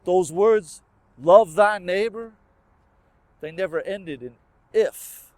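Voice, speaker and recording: A man's voice: sits high, slow pace, no room sound. A man performing rhymed spoken word poetry with a rhythmic, emphatic and earnest delivery.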